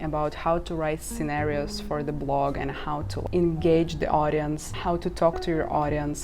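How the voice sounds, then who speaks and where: A woman in her twenties, from Ukraine and a native Russian speaker, talking candidly to camera about earning money online, laughing at herself now and then. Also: sits low. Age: 20s. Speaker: vlogger. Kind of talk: casual talk to camera. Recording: guitar music playing behind.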